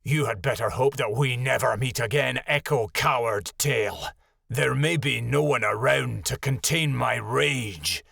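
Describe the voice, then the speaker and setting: A man voicing a fierce, protective male alpha wolf, low and menacing. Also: unhurried, creaky, a bit bright, husky, no room sound, studio quality, full character voice.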